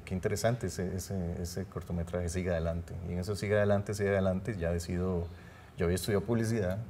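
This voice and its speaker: A man's voice: sits low, mellow, slight background noise. A man in his thirties, a film director, talking earnestly in a studio interview, rambling with restarts.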